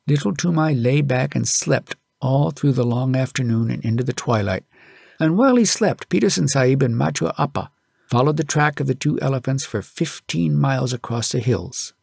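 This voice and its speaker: A man's voice: mid-range voice, animated, moderate pace, creaky, a little mellow, breathy edge, no room sound, clean studio sound. A man reading a story aloud as an audiobook narrator, in scripted storytelling style.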